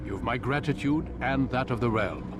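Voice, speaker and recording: An actor playing an authoritative older master of assassins in a video game, measured, calm and didactic. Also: male voice, medium pitch, lightly acted. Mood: approving, solemn. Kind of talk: dramatic video game acting. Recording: loud music behind the voice.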